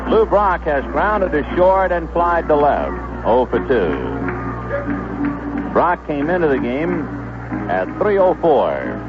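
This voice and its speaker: A man doing live radio baseball play-by-play, describing the action in measured phrases with pauses between them. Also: fairly high pitch, very animated, music in the background. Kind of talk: live radio sports commentary. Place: stadium broadcast booth. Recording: muffled 1970s AM radio broadcast, background noise.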